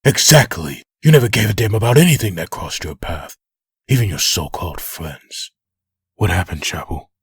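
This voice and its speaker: A man playing a vengeful undead antihero in a comic dub, raspy, bitter and accusing. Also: sits low, animated, moderate pace, no room sound, clean studio sound, full character voice. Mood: bitter, taunting. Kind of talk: fan comic dub acting.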